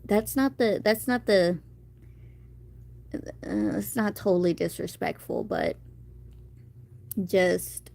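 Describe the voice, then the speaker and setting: Woman, 30s, live streamer; unhurried, rambling chat voice, mid pitch. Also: big pitch swings, vocal fry, darkish tone. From United States. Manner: chatty, rambling, reading and answering viewer comments.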